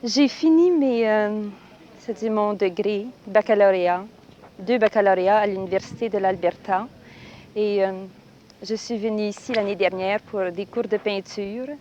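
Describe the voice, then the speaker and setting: A woman's voice: mid-range voice, normal speed, warm tone, dull audio, slight background noise. A woman from Alberta, a nun studying at a university, answering carefully with hesitations. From Alberta, Canada. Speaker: nun and graduate student. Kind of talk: archival radio interview answers.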